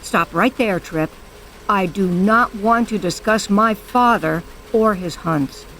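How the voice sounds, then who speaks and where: With faint noise behind her, an actress plays a guarded woman in an audio drama. She speaks slowly in a mid-pitched voice, terse and stern about her past. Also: a bit bright.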